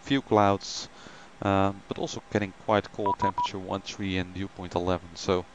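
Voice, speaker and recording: A man's voice: deep voice, steady tone, moderate pace, heavy background noise. A man hosting a flight simulator live stream, chatting casually with many ums and greeting viewers by name.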